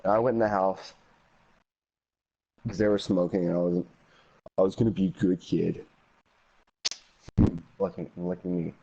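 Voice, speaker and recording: Young male guest storyteller, low and slightly mellow, unhurried, casual, on dull audio. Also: level tone, creaky, a little hiss or hum. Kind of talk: casual anecdote telling.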